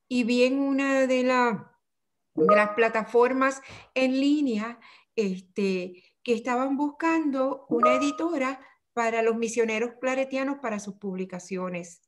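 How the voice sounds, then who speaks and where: A woman's voice: fairly high pitch, measured pace, slight background noise. Older Puerto Rican woman, interview guest, reflective, restarts her phrases. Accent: Puerto Rican Spanish. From Puerto Rico. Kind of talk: remote interview conversation.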